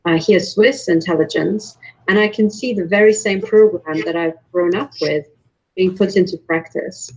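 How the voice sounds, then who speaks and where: A woman from Romania giving personal testimony as a guest in an online panel, earnest and halting, with restarts. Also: fairly low pitch, muffled recording. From born in Romania, later moved to Germany. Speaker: invited panel guest, researcher. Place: remote online call.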